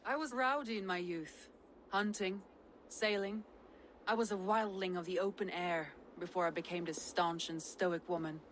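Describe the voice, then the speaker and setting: An actress playing a stoic Norse noblewoman with an adventurous heart, speaking earnestly in measured, formal phrases for a video game. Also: fairly low pitch, fairly even intonation, a little hiss or hum, natural voice, rough recording. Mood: wistful. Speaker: game character. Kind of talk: dramatic game cutscene acting.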